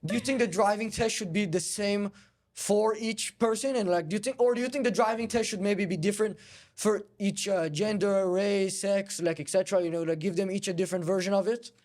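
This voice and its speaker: High-pitched fast-talking man debating. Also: a little mellow. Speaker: host, debater. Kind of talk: confrontational casual debate interview.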